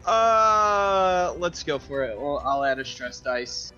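A man with a fairly high voice talks very slowly as a player in a tabletop game. He is casual and hesitant, thinking aloud. The sound is a little dull, with faint music behind. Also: lively intonation, bright, forceful tone.

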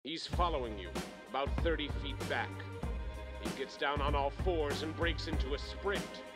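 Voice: mid-range voice, moderate pace, over loud music, close to an everyday voice. An actor playing an urgent, ominous narrator in a dramatic mock-horror radio play. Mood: ominous urgency. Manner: tense, dramatic.

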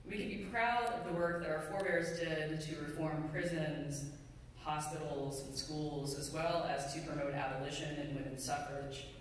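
A middle-aged pastor preaching a sermon in a measured, deliberate way with pauses. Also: mid-range voice. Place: church sanctuary pulpit, indoors. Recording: very echoey hall, distant mic, faint noise.

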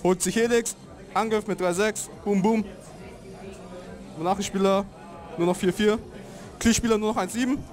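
A man's voice: sits high, steady tone, moderate pace, long pauses, darkish tone. A young man, commentating a card game tournament in a laid-back, slangy way.

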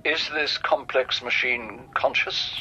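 A dogmatic, coldly authoritative cardinal for radio drama. The voice is tense and forceful at a medium pitch, with grave, emphatic phrasing. Noise is audible in the background. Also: male voice, slow pace, dull audio. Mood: probing.

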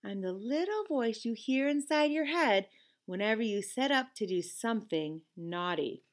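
A woman's voice: a little high, animated, natural voice. Woman voicing a bossy, scolding little conscience. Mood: self-important. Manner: interrogating, drawn-out mock suspicion.

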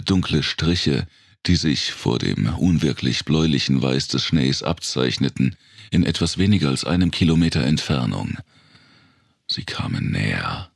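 A man narrating a dark thriller audiobook in a gravelly, raspy voice, with measured, dramatic storytelling. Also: rumbling low, no room sound, clean studio sound.

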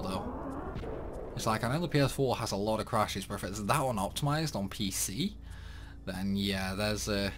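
A man giving casual, rambling commentary while playing a video game. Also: fairly low pitch. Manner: chatty, rambling, animated. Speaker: gaming YouTuber.

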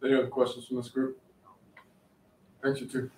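A man moderating a press conference in a brisk, matter-of-fact way. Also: mid-range voice, completely flat delivery. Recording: echoey room, distant mic.

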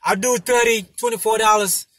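A man in his thirties talking casually and emphatically to camera as a delivery driver giving tips, repeating his points, with a husky voice. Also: sits high, steady tone, normal speed, a bit bright, dry recording. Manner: emphatic, repetitive, punctuated with "you know". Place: inside a parked car. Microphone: phone mounted in front of him.

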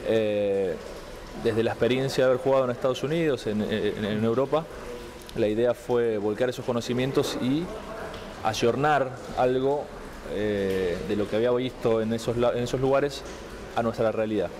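A man's voice: medium pitch, normal speed. Argentine man with a noisy background. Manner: thoughtful, many restarts and filler "eh". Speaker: interviewee. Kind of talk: relaxed interview answers. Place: indoor room, seated on a couch. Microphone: handheld mic held out by interviewer.

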